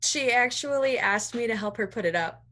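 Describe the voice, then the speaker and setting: A woman playing a guarded, conflicted young college student in a staged reading, halting and sighing as the character deflects. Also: on the high side, expressive, bright, forceful tone. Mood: awkwardly amused. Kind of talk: live staged reading of a play over video call. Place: online video call staged reading.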